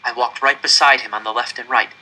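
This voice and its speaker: A man narrates a story aloud with a very bright, forceful voice at a medium pitch. His delivery is earnest and suspenseful, shaped as dramatic first-person narration. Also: steady tone, quick pace, rough recording. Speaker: audiobook-style reader.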